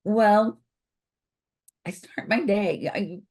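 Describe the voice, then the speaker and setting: An older woman, a company founder, speaking slowly and thoughtfully over a video call with a froggy voice from recent illness, pausing often with fillers. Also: medium pitch, darkish tone. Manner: slow, thoughtful, many pauses and fillers. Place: home office with bookshelves.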